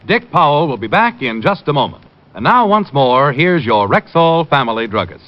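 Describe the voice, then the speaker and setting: A man's voice: fairly high pitch, big pitch swings, moderate pace. A formal American male radio announcer from an earlier era. His delivery is polished with sweeping intonation. The recording is dull, with faint music.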